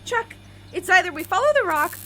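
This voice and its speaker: An excitable, childlike young woman wanderer played by an actress, with a very high, bright voice. She is impulsive and naive, in a radio drama with noticeable noise behind her. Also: a little fast, full character voice. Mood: exasperated, urgent. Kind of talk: audio drama acting.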